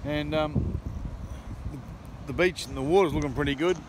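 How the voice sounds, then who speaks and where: A man's voice: a little high, normal speed. A middle-aged Australian man narrating a casual vlog into a phone outdoors, chatty and cheerful. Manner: chatty, cheerful, cries of yeehaw. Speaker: vlogger. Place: outdoors on a windy beach and in a car. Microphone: phone held at arm's length. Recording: loud wind noise on the phone mic.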